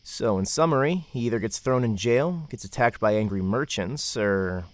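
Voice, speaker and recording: A man's voice: on the low side, animated, moderate pace, dry recording, lightly acted. A man playing a reluctant but protective young merchant's son in an audio drama, musing and grumbling as he speaks. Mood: resigned.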